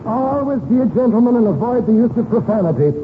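A tinny, noisy 1940s radio recording of a blustering old uncle. He rambles grandly in a high voice and repeats himself like an old stump speaker in a comedy show. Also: expressive, fast talker. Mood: blustering, nostalgic. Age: older. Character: blustering old Irish uncle, garrulous shady ex-politician.

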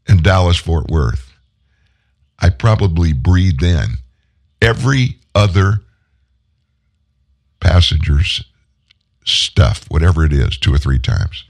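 Deep raspy guy with a cold, chatty opinionated talk host rambling on. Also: very animated, slow pace, pauses often. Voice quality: deep, rough from a long flight. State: hoarse, unwell. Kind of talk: casual political talk show monologue.

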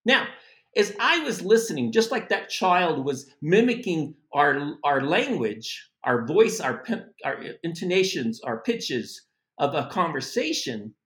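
A middle-aged man from the United States giving a casual spoken language lesson, animated and chatty with asides. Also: a little high, unhurried, reverberant. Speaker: language teacher.

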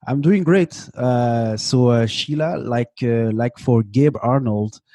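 A man's voice: medium pitch, takes it slowly, warm tone, no echo. A man hosting an interview podcast. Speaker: podcast host.

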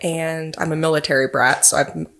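A woman's voice: sits low, steady tone, moderate pace, fairly bright tone. A young woman from Texas with a Texan accent, a police officer answering interview questions in an earnest, friendly way.

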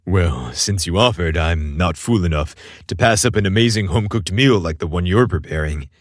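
A man voicing a mysterious, handsome, laid-back male neighbour in a romance story, with a rich baritone and casual, hesitant charm. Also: expressive, normal speed, no echo, studio quality. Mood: flirty, confident. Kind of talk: romance audio story dialogue.

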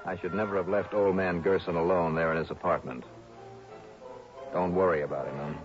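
A male actor as a suspicious, sardonic private investigator from early sixties radio drama. His voice sits low and his phrases are clipped. The broadcast sound is dull, with music behind. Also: steady tone, brisk, natural voice. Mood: wry, matter-of-fact. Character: hard-boiled, suspicious male insurance investigator narrating his case. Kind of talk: 1960s radio detective acting.

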